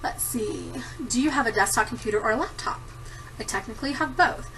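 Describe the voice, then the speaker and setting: A woman's voice: a little high, fairly quick, gravelly, a bit bright, recorded from a distance, slight background noise, rough recording. A woman in her thirties chatting casually to camera, giggling often and rambling with lots of ums. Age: 30s. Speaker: vlogger answering a tag. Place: home room at a desktop computer. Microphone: computer camera mic.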